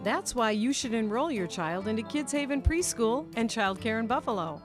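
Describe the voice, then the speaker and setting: A woman's voice: fairly high pitch, lively intonation, moderate pace. A woman reading a scripted radio advertisement as the announcer. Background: music.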